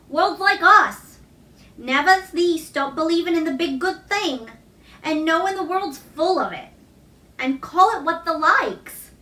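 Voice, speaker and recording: A woman voicing a warm, plain-spoken middle-aged Yorkshire countrywoman in a storybook, with folksy, emphatic dialect. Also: sits high, voice pushed up, exaggerated voice. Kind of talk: children's storybook read-aloud. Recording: roomy echo, distant microphone.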